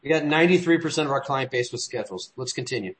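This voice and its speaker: A man chairs a work meeting, his talk looping through restarts and side stories at an ordinary pitch. His delivery is loose and conversational, drifting off before picking up again. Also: animated, quick pace. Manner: rambling, frequent restarts and trailing asides. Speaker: meeting leader. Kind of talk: business meeting.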